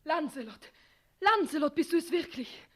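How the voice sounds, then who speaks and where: An actress playing a tender, suffering young maiden, breathy and emotional, in a radio play. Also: female voice, sits high, animated, normal speed. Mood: overwhelmed. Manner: emotional, lyrical stage delivery. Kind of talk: 1960s German radio play acting.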